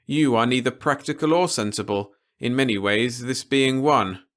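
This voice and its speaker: A man performs a stern, articulate official from an audiobook story. The voice is lifted well above a middle register, with deliberate, emphatic and formal delivery, like a lecture to someone stubborn. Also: medium pitch, fairly bright tone, voice pushed up, lightly acted. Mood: stern, warning. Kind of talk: audiobook character dialogue.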